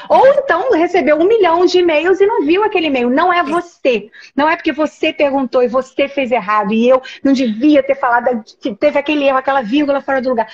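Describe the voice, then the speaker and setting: A Brazilian woman with a high, bright voice rattles along quickly and emphatically, often restarting her sentences. She is on a video call, and the audio comes through dull and lo-fi. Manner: animated, emphatic, with many restarts. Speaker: host, professor. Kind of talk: casual conversation.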